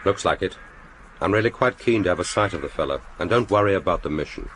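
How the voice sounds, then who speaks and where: An actor playing a cool, dry-witted British secret agent in a radio drama, speaking in a clipped, understated, wry way. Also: male voice, low voice, animated, fast, fairly bright tone, slight background noise, poor audio. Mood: dry, composed.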